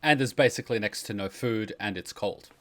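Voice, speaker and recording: A man's voice: on the low side, a little fast, fairly bright tone. A man hosting a reaction stream, giving casual explanatory commentary. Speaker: host of a game lore reaction stream.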